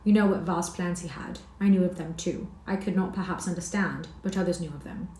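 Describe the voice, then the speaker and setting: A woman's voice: lowish voice, a little fast, dark tone, close to an everyday voice. A woman voicing a grieving young woman who speaks mournfully and pleads in a heartbroken tone. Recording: roomy echo.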